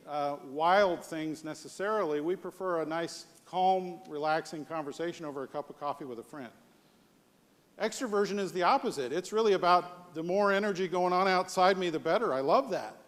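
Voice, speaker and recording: Man, American accent, high-pitched voice, live presentation, conversational, rhetorical questioning, echoing hall. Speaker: conference speaker. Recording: long hall reverb.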